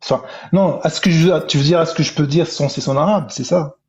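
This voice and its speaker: A man's voice: a little high, a little fast, a little mellow, a little hiss or hum. Man arguing over dull lo-fi audio. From Algeria. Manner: argumentative, insistent, many restarts. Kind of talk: online voice debate.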